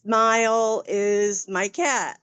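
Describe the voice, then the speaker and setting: A woman from New York introduces herself over her phone on a video call. Her voice is smooth and slightly high, and she speaks slowly with little room sound. She is a little flustered and halting. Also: fairly even intonation, a bit bright, dry recording. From New York City, United States. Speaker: participant. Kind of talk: casual self-introduction.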